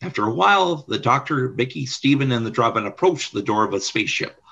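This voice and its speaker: A man narrates the action of a radio play from a script. His voice sits at a middle pitch, with a bright, pressed tone and a husky grain. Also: lively intonation, brisk, highs cut off.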